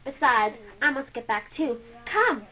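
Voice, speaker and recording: A woman voicing Maya, an eager, delighted young star girl who talks in an excited, breathless way. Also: sits high, unhurried, heavily acted, poor audio.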